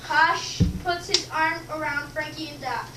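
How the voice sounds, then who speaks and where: A young girl reads story narration from a script in a high voice. She sounds distant, in an echoey room with clear background noise. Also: fairly even intonation, normal speed. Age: child or young teenager. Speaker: narrator in a student readers theater. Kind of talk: scripted narration read aloud.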